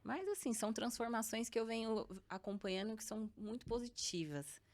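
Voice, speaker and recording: A woman's voice: a little high, brisk. A Brazilian woman in her thirties, a guest co-founder chatting casually on a podcast and telling stories with acted-out dialogue. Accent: Brazilian Portuguese. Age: 30s. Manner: lively storytelling, acts out dialogue, frequent laughter.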